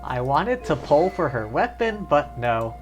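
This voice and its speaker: A man's voice: a little high, very animated, a little slow. Casual man streaming a game, music behind. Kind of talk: casual live gameplay commentary.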